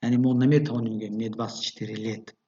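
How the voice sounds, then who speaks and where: A man teaching a bilingual grammar lesson, explaining patiently with fillers and reading example phrases slowly. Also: lowish voice, flat delivery, mellow, slightly rough. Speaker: language teacher. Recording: dull lo-fi recording.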